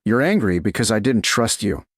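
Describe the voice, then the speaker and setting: A man voicing a steady, controlled, protective medieval duke in an audiobook, calm, terse and measured. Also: lowish voice, dry recording, clean studio sound, natural voice. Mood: quietly insistent. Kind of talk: audiobook character dialogue.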